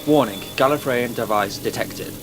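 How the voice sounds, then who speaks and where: A man's voice: medium pitch, moderate pace, music in the background, lightly acted. A man in a fan audio drama playing a holographic ship warning system with clipped, repetitive announcements. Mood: urgent warning.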